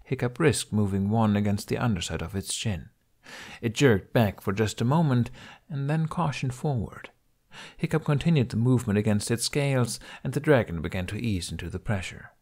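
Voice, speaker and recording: A man's voice: lowish voice, expressive, moderate pace, a little mellow. A man reading a scripted story aloud as an audiobook narrator.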